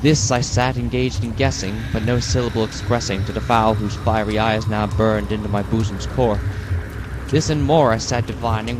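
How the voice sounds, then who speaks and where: A man giving a slow, brooding, theatrical dramatic reading of a poem. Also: lowish voice. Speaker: reader. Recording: steady rain noise behind voice.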